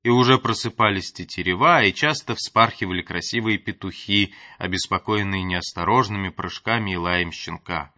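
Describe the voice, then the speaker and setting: Male audiobook storyteller: lowish register, crisp bright edge, expressive theatrical reading. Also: moderate pace, few pauses, dry recording, clean studio sound. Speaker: audiobook narrator. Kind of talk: expressive scripted storytelling narration.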